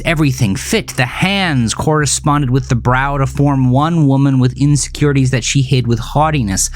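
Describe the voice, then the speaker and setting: A man narrating a scripted horror story in a measured, dramatic way with deliberate pauses. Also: mid-range voice.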